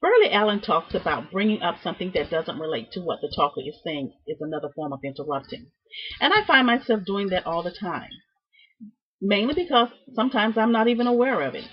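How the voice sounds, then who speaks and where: A woman giving a prepared student presentation, reading from notes with deliberate, emphatic phrasing and halting repeats. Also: medium pitch, expressive, breathy edge. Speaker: student presenting a class project. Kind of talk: prepared speech, read from notes. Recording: dull, muffled lo-fi sound.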